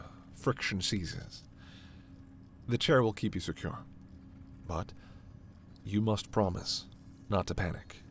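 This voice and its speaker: A man playing a gentle, authoritative alien doctor in a sci-fi ASMR roleplay, speaking in a measured, formal and reassuring way. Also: on the low side, vocal fry, a little hiss or hum, heavily acted. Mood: calm, careful. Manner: measured, formal, reassuring, soft-spoken asides. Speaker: voice performer.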